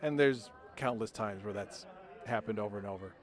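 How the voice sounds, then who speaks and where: An American man speaking in a matter-of-fact, slightly halting way, recorded outdoors in a city. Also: lowish voice. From United States.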